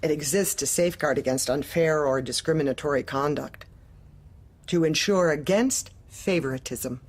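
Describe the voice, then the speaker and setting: Casting: icy female manager, lowish husky voice, sweetly menacing, probing, for dark comic audio drama. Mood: veiled menace. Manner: deliberate, probing, politely menacing, repeats questions. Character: manipulative, heartless female office manager, falsely pleasant.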